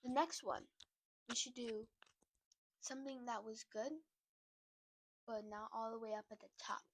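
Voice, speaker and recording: A woman's voice: on the high side, fairly even intonation, a little slow, slightly rough, no echo. A woman chatting casually to her viewers while a little sick, rambling with lots of fillers and restarts. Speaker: book content creator. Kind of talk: casual chat to camera.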